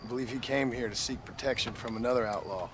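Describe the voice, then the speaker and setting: A man's voice: medium pitch, fast talker, natural voice. Man playing weary gunman, laconic, dry, polite, clipped, noisy outdoor game scene. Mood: terse. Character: laconic, weary American outlaw-turned-hunter in his thirties. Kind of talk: video game cutscene acting.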